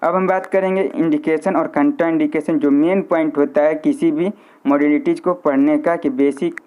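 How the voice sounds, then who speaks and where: A man's voice: on the high side, fairly even intonation, a little slow, a little mellow. A man giving an informal instructional lecture, repeating key points and mixing in English technical terms. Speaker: physiotherapy teacher. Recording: muffled, narrow lo-fi sound.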